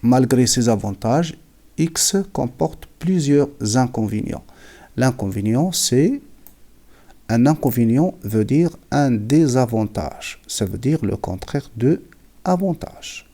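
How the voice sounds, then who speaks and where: A man teaching writing, slowly defining and repeating words, with a cold that makes him clear his throat. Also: mid-range voice, lively intonation, warm tone. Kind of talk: slow classroom explanation.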